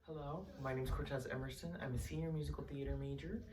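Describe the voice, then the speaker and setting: A young man of twenty-one introduces himself calmly and without hurry. He studies musical theater. People can be heard talking behind him. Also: medium pitch, fast talker, a crowd in the background. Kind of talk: short self-introduction.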